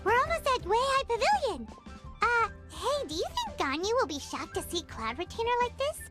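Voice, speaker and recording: Game sidekick: a small chatty fairy, squeaky and lively, music loud behind. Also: female voice, moderate pace. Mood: curious. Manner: talks about herself in third person, bubbly. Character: tiny chatty female floating fairy companion. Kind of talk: video game voice acting.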